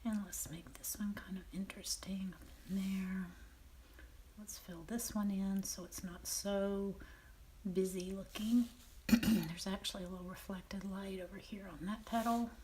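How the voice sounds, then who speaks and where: A woman with a Southern American accent and a raspy, half-whispered voice, calmly talking through a painting demonstration and often clearing her throat. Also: medium pitch, background noise. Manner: unhurried, thinking aloud while painting, frequent throat clearing. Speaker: painting teacher.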